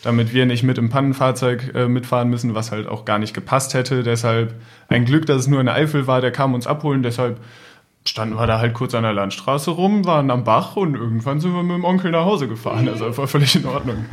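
Young male guest, average pitch, easygoing casual storyteller. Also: fast talker. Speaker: podcast guest recalling childhood.

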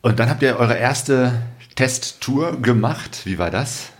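A man's voice: mid-range voice, lively intonation, takes it slowly, a crowd in the background. A man hosting a podcast, asking questions and chatting casually. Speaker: podcast host. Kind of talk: casual conversational interviewing.

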